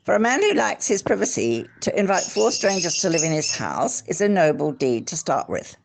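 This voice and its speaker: Audiobook reader, creaky voice with lively swoops, theatrical and deliberate, recorded at home with faint noise. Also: mid-range voice. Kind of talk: expressive literary narration. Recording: faint background noise, clicks.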